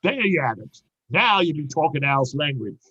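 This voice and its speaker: A man's voice: a little high, very animated, moderate pace, bright, forceful tone, breathy edge, no room sound, heavily acted. A man playing a pushy, jovial sidekick in a play reading, urging loyalty to the boss in colloquial, exhorting phrases. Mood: coaxing. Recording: dull, dry video-call audio.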